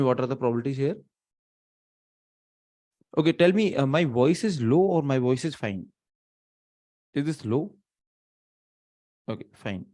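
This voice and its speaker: An Indian man in his thirties teaches a live online class from a small room, his voice sitting at an ordinary middle pitch. He thinks out loud, stops and restarts, and drops Hindi phrases into his English. The mic is a webcam setup, not close to him. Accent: Indian English, mixing in Hindi. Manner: thinking aloud, many restarts and fillers.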